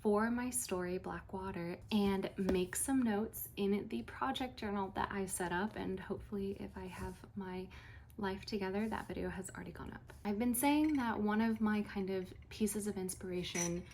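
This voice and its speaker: A woman with a cold, a little nasal, chatting casually in a vlog and often dropping to a soft whisper. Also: mid-range voice, moderate pace, slight background noise. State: has a cold. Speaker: writer and vlogger.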